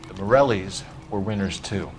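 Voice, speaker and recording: An actor playing a determined young American police detective who questions in a clipped, insistent, businesslike way for a video game. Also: male voice, sits low, normal speed, over music, lightly acted. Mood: firm, urgent. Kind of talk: dramatic video game acting.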